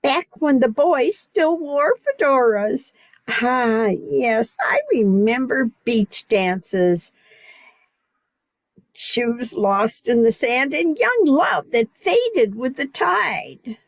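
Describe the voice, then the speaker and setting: A woman's voice: high voice, animated, very slow, no echo, heavily acted. An older woman playing a kindly, nostalgic elderly lady who reminisces wistfully in an amateur radio play.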